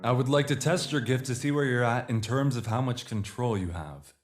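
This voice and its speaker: A man playing a courteous, formal leader of a secret organization in an audio drama, speaking in a measured, polite way. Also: medium pitch, faint music behind, lightly acted. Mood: calm, explanatory.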